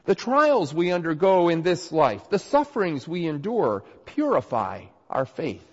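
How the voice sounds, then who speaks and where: A male pastor getting over a cold delivers an earnest sermon, his voice slightly nasal and raspy. He speaks slowly, with a pitch that swings animatedly on his points. The recording is dull and muffled, with long reverb from the hall around him. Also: huge pitch swings. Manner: earnest, emphatic preaching. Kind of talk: sermon with scripture reading.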